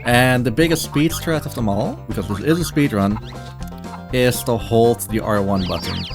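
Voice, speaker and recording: A man's voice: mid-range voice, moderate pace, music in the background. Man giving casual speedrun commentary. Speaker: speedrunner presenting a game. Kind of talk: casual live gameplay commentary.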